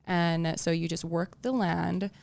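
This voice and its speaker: A woman's voice: lowish voice, fairly even intonation, slow pace, vocal fry, darkish tone. A woman from Tonga whose first language is Tongan, chatting casually as a podcast guest, with plenty of fillers. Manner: chatty, many fillers like "like" and "you know". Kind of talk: casual interview chat.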